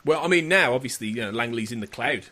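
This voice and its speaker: A male podcast host interviewing a guest, eager and quick to laugh, often repeating words as he starts sentences. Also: mid-range voice, expressive, bright, forceful tone. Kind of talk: enthusiastic interview chat.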